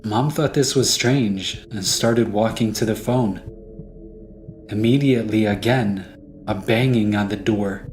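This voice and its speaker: A man's voice: fairly low pitch, measured pace. A man narrating a scripted first-person horror story. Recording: music behind the voice.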